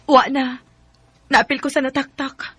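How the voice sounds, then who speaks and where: A woman's voice: high-pitched, flat delivery, a little slow, a bit bright, no room sound. A woman acting a struggling, tender young single mother in a radio drama, earnest and emotive, with a Bisaya Filipino accent. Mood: resigned.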